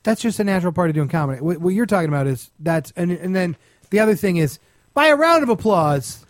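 A man's voice: high-pitched, expressive, fast, dry recording, studio quality. A male comedian hosting a talk show, bantering casually in a blunt, interjecting way. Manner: blunt, interjecting, self-described aggressive.

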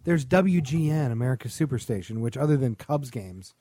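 A man's voice: mid-range voice, animated, brisk, dark tone, no echo, studio quality. A man co-hosting a podcast, chatting casually in a sardonic, opinionated way. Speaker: podcast co-host. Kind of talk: casual chat about film and television.

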